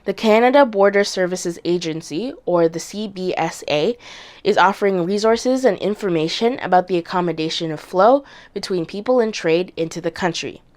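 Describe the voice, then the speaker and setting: A woman's voice: fairly low pitch, moderate pace. Woman reading a scripted information segment. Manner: even, informational read. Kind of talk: scripted narration.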